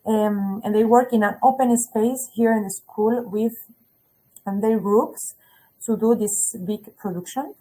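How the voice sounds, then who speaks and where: A woman's voice: medium pitch, steady tone, measured pace, dark tone, rough recording. A woman who speaks as a presents a school webinar on a video call in English, hesitating often with fillers.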